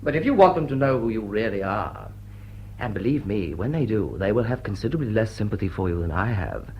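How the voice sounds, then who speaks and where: An actor playing a suave, teasing lord with urbane, smug politeness in a classic stage comedy. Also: male voice, fairly low pitch, animated, fairly quick, husky, highs cut off. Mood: teasing, insistent. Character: suave, teasing gentleman host, a dashing lord. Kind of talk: classic stage comedy radio drama acting.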